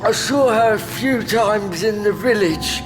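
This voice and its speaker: High-voiced, fearful, childlike henchman. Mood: anxious confession. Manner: halting, fearful, stammering. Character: tall misshapen, scarred, frightened henchman, childlike and servile. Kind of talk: audio drama acting. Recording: long reverberant hall, music behind.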